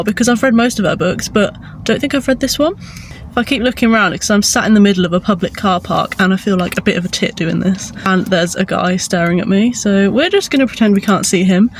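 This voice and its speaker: A woman chats through a casual book vlog, eager and talkative. Her nose is bunged up with a cold, which gives her speech a stuffy sound. Her pitch sits right in the middle range. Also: slight background noise. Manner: chatty and enthusiastic. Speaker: book vlogger.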